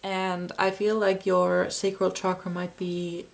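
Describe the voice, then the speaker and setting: A woman's voice: on the low side, completely flat delivery, takes it slowly, vocal fry. A woman losing her voice, giving a chatty, meandering pick-a-card tarot reading straight to the viewer. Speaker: tarot reader.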